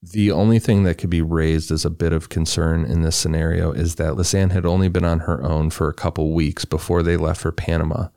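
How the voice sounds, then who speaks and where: Very deep gravelly man narrating. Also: brisk, few pauses, dry recording, studio quality. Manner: relaxed, loose reading with asides. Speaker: podcast co-host. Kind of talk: true crime narration with casual banter.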